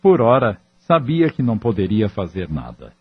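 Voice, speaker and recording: A man's voice: lowish voice, sing-song, normal speed, lo-fi sound, a little hiss or hum. A man narrating a scripted audio drama.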